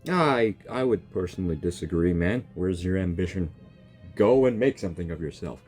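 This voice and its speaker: Young male gaming YouTuber, deep voice, joky and excitable, lively swings. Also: normal speed, soft background music. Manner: joking, excitable, talks back to the characters. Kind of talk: casual game reaction commentary.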